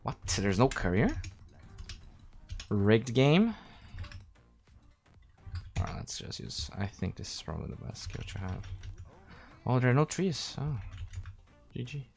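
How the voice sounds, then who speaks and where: A young man from Brazil, chatting casually while streaming a game, thanking viewers and thinking out loud. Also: mid-range voice, very animated, unhurried, creaky, pauses often. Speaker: streamer and caster. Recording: faint music behind, weak mic.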